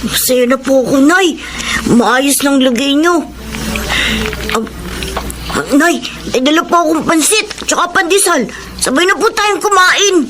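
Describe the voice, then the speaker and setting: Earnest, high-voiced young boy. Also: a little slow, long pauses, music in the background. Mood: caring. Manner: hesitant, polite, pleading. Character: earnest poor young boy, devoted to his sick mother. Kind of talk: Tagalog radio drama acting.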